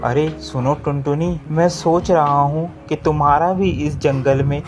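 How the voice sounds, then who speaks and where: Cartoon bird: narrator doing a kind young male sparrow, calm and slow, soft guitar under. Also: medium pitch, lightly acted. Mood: earnest.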